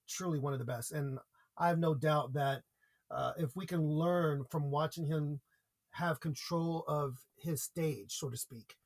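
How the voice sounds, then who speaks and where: Earnest American man coaching on speaking. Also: on the high side. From United States. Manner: earnest, explains with examples and repeated points. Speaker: podcast guest, public speaking coach. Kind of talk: conversational podcast interview.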